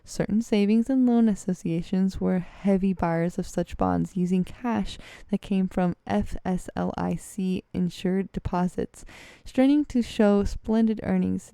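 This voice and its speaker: A woman reads aloud from a text in a smooth, mellow voice. She trips over words and corrects herself as she goes. The sound is close and dry. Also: mid-range voice, no echo. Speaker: vlogger reading a letter aloud.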